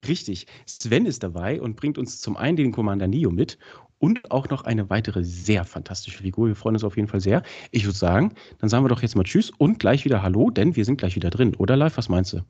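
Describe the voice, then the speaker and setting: A man hosting a podcast in casual chat, with a cold, chatty and filling pauses. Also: fairly low pitch, lively intonation, mellow, breathy edge. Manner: chatty, many fillers. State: has a cold. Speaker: podcast host. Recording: dull lo-fi sound.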